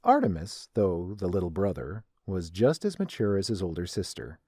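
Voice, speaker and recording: Low, mellow male story narrator. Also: huge pitch swings, normal speed, breathy edge, no room sound, studio quality.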